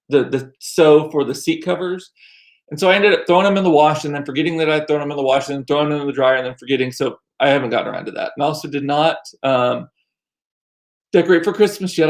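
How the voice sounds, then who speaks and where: Middle-aged man, American accent, higher-than-average pitch, informal host chatter, talkative, meandering, self-deprecating. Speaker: livestream host. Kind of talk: casual chat to live viewers. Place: small home room used as studio.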